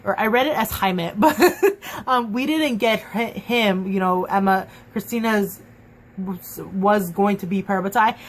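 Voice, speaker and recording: A young woman chatting excitedly in a casual book review vlog, full of fillers and restarts. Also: medium pitch, a little hiss or hum, low-quality recording. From Mexican and Cuban heritage.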